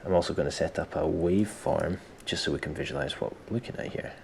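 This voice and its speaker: A man presenting a software tutorial step by step, informally thinking aloud as he explains. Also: deep voice, fast talker, vocal fry, slight background noise. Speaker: tutorial host.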